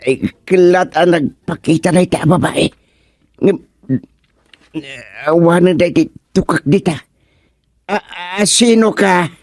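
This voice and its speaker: A bumbling, jumpy village man acted by a man in a comedy radio serial. He speaks slowly in a fairly high voice, stammering and exclaiming, recorded dry and close. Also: dry recording, faint music behind. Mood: startled. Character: startled, bumbling village man talking to a frog. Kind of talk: comedy radio drama acting.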